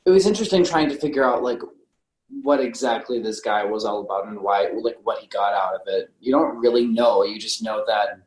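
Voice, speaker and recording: A man's voice: on the low side, fairly quick. Guy on a movie podcast, kinda tentative, keeps hedging, roomy echo. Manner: tentative, self-qualifying. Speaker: podcast co-host. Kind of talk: casual film discussion.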